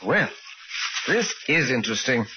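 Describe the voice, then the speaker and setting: An actor playing a courteous, shrewd police inspector in an old radio drama, formal and probing in manner. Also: male voice, medium pitch, sing-song, measured pace, background noise. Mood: polite, probing. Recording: dull old radio recording.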